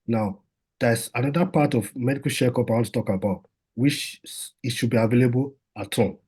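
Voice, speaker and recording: A man's voice: medium pitch, brisk, a little mellow, breathy edge. A man, a doctor, giving an informal health talk in a conversational way, with lots of fillers and restarts.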